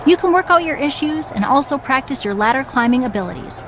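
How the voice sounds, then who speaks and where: A woman's voice: high-pitched, lively intonation, fast. A woman playing a bossy, seasoned wrestling legend in video game dialogue, firm, matter-of-fact and wry. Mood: commanding.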